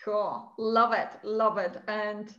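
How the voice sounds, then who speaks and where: A woman's voice: medium pitch, steady tone, takes it slowly, pushed and bright, echoey room, muffled recording. A woman hosting an interview over a video call, upbeat and encouraging, with lots of affirming fillers. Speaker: mentor, interview host.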